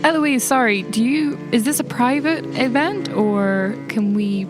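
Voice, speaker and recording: A woman's voice: a little high, unhurried, music in the background, close to an everyday voice. A woman playing a candid, polite investigator at a wake in improvised audio roleplay, conversational and apologetic. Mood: polite, discreet.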